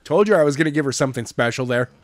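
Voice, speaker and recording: A man's voice: mid-range voice, animated, very fast, no room sound. A man chatting casually as a podcast co-host, playful and wisecracking. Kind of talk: casual joking discussion.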